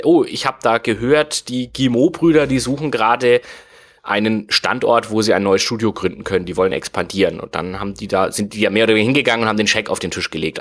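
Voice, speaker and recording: A man's voice: fairly low pitch, a bit bright. A man, explaining at length in a casual podcast chat, full of fillers and hedges. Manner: rambling, many fillers and hedges. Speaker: guest explaining game industry history.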